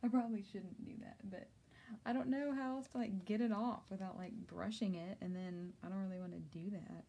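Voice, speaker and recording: A woman's voice: mid-range voice, steady tone, fairly quick, mellow. A young woman chatting casually while she crafts, answering viewers and laughing often. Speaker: crafter doing a tumbler tutorial. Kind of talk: casual live-stream chat while crafting. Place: home craft office.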